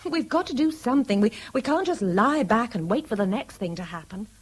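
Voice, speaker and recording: An actress playing a sharp-minded, protective, indignant woman with a British accent, insistent and probing, in a radio drama. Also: fairly high pitch, animated, a little fast, a little hiss or hum, natural voice.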